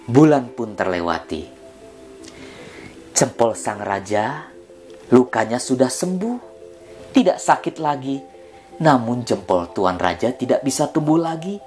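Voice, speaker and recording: An animated male storyteller narrating for children, his voice swooping up and down with dramatic emphasis. He speaks slowly and deliberately, and faint music plays underneath. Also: mid-range voice.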